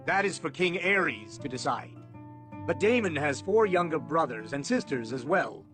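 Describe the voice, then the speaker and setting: A man voicing a cold, imperious lord and royal minister, measured, formal and contemptuous, in an audiobook. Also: on the high side, sing-song, a bit bright, soft background music. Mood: coolly calculating.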